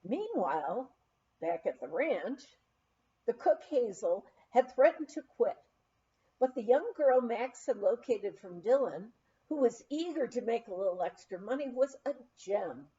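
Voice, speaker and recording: A woman reading a memoir aloud as an audiobook reader, in an animated, unhurried storytelling way. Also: a little high, slight background noise. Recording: dull, lo-fi recording.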